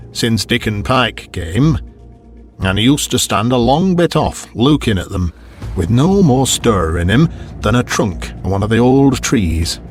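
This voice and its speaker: A man narrating a Victorian ghost story as an audiobook in a measured, deliberate storytelling manner. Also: fairly low pitch.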